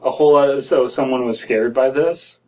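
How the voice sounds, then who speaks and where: A young American man with a mid-pitched voice co-hosts with casual, joking commentary. He talks haltingly, repeating words, and sits a little back from the mic. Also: a little hiss or hum. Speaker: co-host commenting on stories. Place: small dim room indoors. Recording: somewhat distant from mic.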